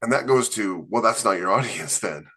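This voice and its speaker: A man's voice: lowish voice, lively intonation, moderate pace, pushed and bright, breathy edge. A man co-hosting a podcast in casual panel chat, talking in a rambling, emphatic way full of "you know" fillers. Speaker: podcast co-host and author.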